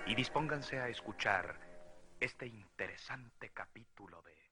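A man's voice: mid-range voice, lively intonation, a little slow. A man announcing a radio drama series in a theatrical, commanding old-time style. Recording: announcement under loud music. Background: loud music.

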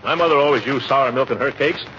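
An actor playing a pompous, blustering middle-aged American uncle who hems and haws, in 1940s radio comedy style. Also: male voice, mid-range voice, quick pace, natural voice. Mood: busy, confident. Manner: blustery, hemming and hawing. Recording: muffled, noisy old radio recording.

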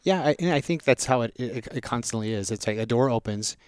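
A man of ordinary pitch answers interview questions, thoughtful and measured, often restarting his sentences. The sound is dry and close, with no room around it. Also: expressive, clear, resonant tone, no room sound. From Southern California, raised in Las Vegas. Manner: measured, frequent restarts and "you know". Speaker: restaurant group president.

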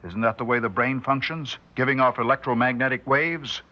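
An actor playing a grave, troubled doctor of science, probing and deliberate, in a husky 1960s radio drama voice. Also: male voice, mid-range voice, sharp tone, natural voice. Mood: intense speculation. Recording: dull vintage 1960s radio broadcast.

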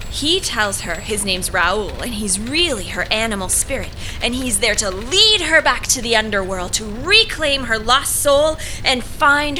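A woman's voice: a little high, sharp tone, slight background noise, low-quality recording. A woman playing an intense, needy, eccentric would-be writer in an audio drama, with an earnest, dramatic, insistent delivery.

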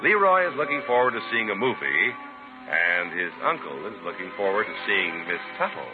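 Animated 1950s radio announcer man, tinny sound. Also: fairly low pitch, brisk, music in the background. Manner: polished, emphatic salesmanship. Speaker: radio announcer and sponsor spokesman.

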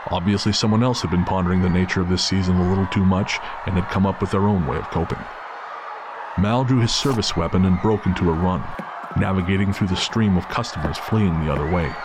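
A man's voice: deep voice, fairly quick. A man narrating a scripted dramatic story as the narrator of an audio drama. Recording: clear background noise of city ambience.

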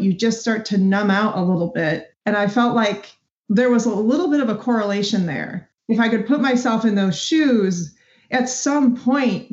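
A woman talking casually and candidly as a podcast guest, reflecting in an introspective way. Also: on the high side. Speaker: podcast guest, audio drama actor. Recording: dull, roomy, echoey audio.

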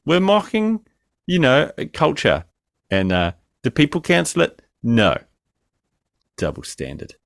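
A man's voice: on the high side, wildly swooping pitch, a little slow, gravelly, pauses often, fairly bright tone, no room sound, clean studio sound. A man co-hosting a podcast by remote call, joking crudely and swinging between mumbling and exclaiming. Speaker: podcast co-host. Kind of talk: casual podcast banter. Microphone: remote call mic.

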